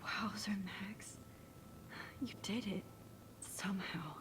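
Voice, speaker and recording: An actress playing a shy, earnest teenage student in a video game, speaking softly and introspectively, often close to a whisper. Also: female voice, mid-range voice, slow pace, natural voice. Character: shy, earnest teenage girl photography student, self-doubting.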